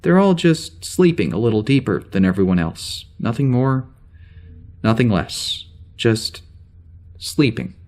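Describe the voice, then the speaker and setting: Male actor as troubled amnesiac starship officer for sci-fi audio drama log; mid-pitched, slow, naturalistic, faltering and self-interrupting. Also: animated, a little mellow, natural voice. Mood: grim, hesitant. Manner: halting, rambling, self-interrupting.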